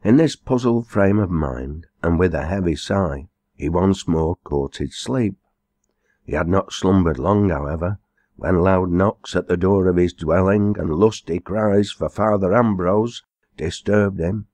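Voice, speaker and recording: Deep-voiced man narrating a storybook. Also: a little mellow, no room sound. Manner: measured, formal storytelling. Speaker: audiobook reader.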